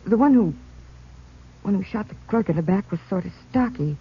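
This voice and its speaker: An actress playing a shaken American crime witness in a radio drama, speaking plainly in a hesitant, nervous way. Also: female voice, mid-range voice, expressive, slightly rough. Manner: halting, nervous, plain-spoken. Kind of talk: 1950s radio drama acting. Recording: muffled, noisy old-time radio.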